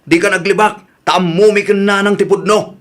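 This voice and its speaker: A man's voice: high-pitched, fairly even intonation, moderate pace, fairly bright tone. A man playing a suspicious Ilocano Filipino husband in a radio drama, probing and grumbling as he talks. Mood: confronting.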